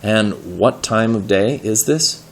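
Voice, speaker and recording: Guy reading a storybook out loud, lowish creaky voice, stops to explain words like a teacher, bit of noise. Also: slow. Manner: explains words patiently, asks questions. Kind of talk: expressive story read-aloud with word explanations.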